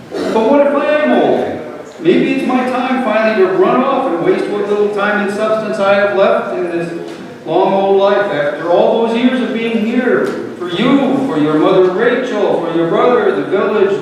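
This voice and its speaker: A man acting high-pitched old father, echoing hall. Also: animated. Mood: indignant, wry. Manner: theatrical, measured, emphatic. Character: weary, wry, long-suffering old father of a rebellious son. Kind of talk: dramatic reading of a parable. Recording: roomy echoing hall, distant microphone.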